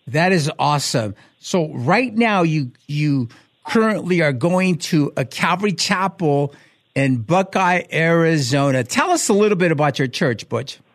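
Upbeat pastor hosting a talk show. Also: male voice, fairly high pitch, lively intonation, a little slow. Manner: upbeat, enthusiastic. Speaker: host, pastor. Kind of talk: radio talk show chat.

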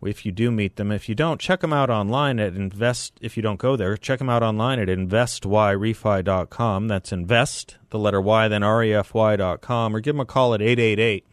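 A man with an American accent and a low-pitched voice hosts talk radio. He speaks in a conversational on-air style, and the sound is dry and close, with no room around it. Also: normal speed, no echo. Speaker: radio talk show host. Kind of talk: live talk radio chat and host-read ads.

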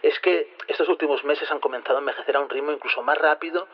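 An actor plays an earnest male scientist with a Castilian accent, talking very quickly. He explains and insists with quiet indignation, in audio drama style. The recording is slightly dull, like radio. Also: a little high, fairly even intonation, natural voice. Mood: explaining. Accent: Castilian Spanish from Spain. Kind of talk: Spanish audio fiction acting.

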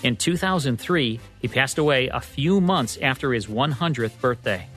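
A man presents as a radio co-host, reading a scripted history segment. His pitch is average for a man, and faint music runs beneath his voice. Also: expressive, moderate pace, fairly bright tone.

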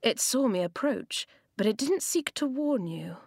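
A woman voicing a gentle, mysterious old man in a bear pelt who speaks low and soft. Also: animated, unhurried, gravelly, slightly rough, no echo, studio quality, full character voice.

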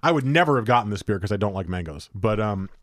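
A man's voice: on the low side, animated, fast talker, no echo, studio quality. A man co-hosting a casual beer-tasting chat, rambling and joking, with a stuffy nose. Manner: rambling, self-correcting, joking. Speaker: podcast co-host.

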